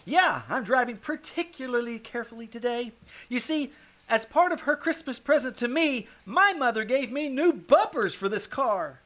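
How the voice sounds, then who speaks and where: A woman playing an eager, earnest teenage schoolboy in a live radio comedy, flattering in manner. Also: a little high, moderate pace. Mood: eager, proud. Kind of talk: live old-time radio comedy acting.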